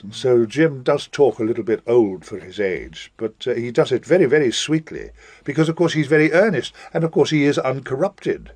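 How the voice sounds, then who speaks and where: A man's voice: medium pitch, slightly rough, no echo. A man speaking casually in an interview, in a discursive, literary way, stressing words for emphasis.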